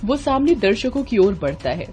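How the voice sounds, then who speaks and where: A woman's voice: fairly high pitch, big pitch swings, moderate pace, loud music behind the voice. A woman narrating a children's horror tale in a dramatic, scripted storytelling style.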